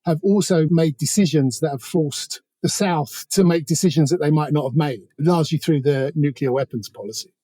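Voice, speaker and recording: British man, higher-pitched, dark-toned voice. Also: moderate pace, few pauses, no room sound. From Britain. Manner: discursive, hedging with many asides. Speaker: author, interview guest. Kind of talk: conversational political commentary.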